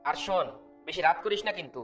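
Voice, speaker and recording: Man as a fast-talking, caring young friend. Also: high-pitched, lightly acted. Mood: concerned. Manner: familiar, instructing. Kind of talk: cartoon voice acting. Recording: prominent music behind the voice.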